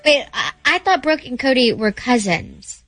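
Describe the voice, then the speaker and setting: A woman with a bright, crisp-edged voice at a middle pitch talks casually. The sound is close and dry. Faint music runs behind her. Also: big pitch swings, measured pace, no echo. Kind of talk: casual talk.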